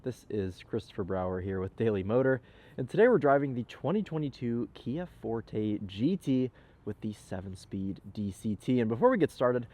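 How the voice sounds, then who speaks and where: A man's voice: mid-range voice, very animated, normal speed, warm tone. A young man with a slightly nasal, stuffy voice from a cold, chatting upbeat through a car walkaround outdoors and inside the car. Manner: upbeat, chatty, filler-laden. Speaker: car reviewer. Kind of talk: casual walkaround car review. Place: outdoors in a parking lot, then inside a car.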